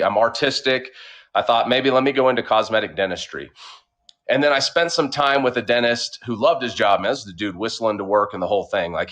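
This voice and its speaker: A man's voice: mid-range voice, fairly bright tone. A man with a light Oklahoma drawl talks through his background in an easygoing, conversational way. He stretches some words out and leans on fillers as he goes, his voice sitting in an ordinary middle register. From Oklahoma, USA. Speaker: podcast guest, entrepreneur. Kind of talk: casual interview storytelling.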